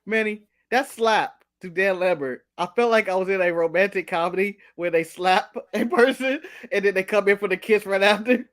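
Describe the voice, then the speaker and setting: A man's voice: high voice, normal speed, hardly any pauses, a bit bright. A man co-hosting a casual wrestling recap show, ranting animatedly and laughing at his own jokes. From United States. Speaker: podcast co-host.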